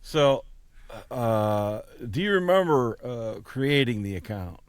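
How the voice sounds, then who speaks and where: A man playing an exasperated support agent in a comedy phone sketch, sarcastic and grudging, with halting delivery. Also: medium pitch, animated, dry recording, clean studio sound. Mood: grudging, unimpressed.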